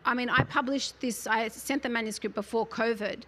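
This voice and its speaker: A woman, speaking as an interview guest who talks about her book in an articulate, earnest way and often fills pauses with "you know". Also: a little high, fairly even intonation, sharp tone. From Australia. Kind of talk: conversational interview.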